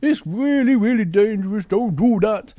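A woman's voice: medium pitch, animated, moderate pace, dark tone, dry recording, clean studio sound, voice pushed up, close to an everyday voice. A woman voicing a big, protective grown-up male bear in an improvised children's story, fussy and full of warnings. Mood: alarmed.

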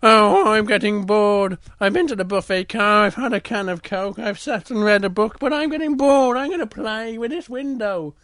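A storyteller voicing a naughty, excitable young male bear in a homemade children's story, sounding eager and whiny. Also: mid-range voice, normal speed, hardly any pauses, dry recording, clean studio sound, pitched above the performer's own voice, natural voice. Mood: bored, whiny. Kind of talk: improvised children's bedtime story.

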